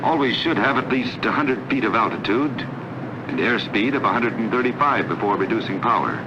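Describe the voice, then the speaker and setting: A man narrating a 1940s pilot training film in a folksy, matter-of-fact way, talking like an experienced flight instructor. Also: brisk. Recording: muffled old film soundtrack with loud engine noise. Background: aircraft engine rumble.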